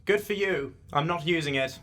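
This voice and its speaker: An actor playing a brusque, secretive agent in an audio drama, speaking in a clipped, formal, scornful manner. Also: male voice, a little high, moderate pace, over music, natural voice. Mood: curt, impatient. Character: brusque, secretive male agent, impatient and dismissive.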